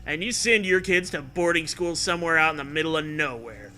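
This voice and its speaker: A man with a very bright, sharp and slightly high voice reads a comic story aloud. His delivery is theatrical and amused, sliding into casual banter, over faint background noise. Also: fairly quick. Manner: theatrical, amused, breaking into riffs and swearing. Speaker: host reading aloud a choose-your-own-adventure parody. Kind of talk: comic read-aloud with casual joking chat.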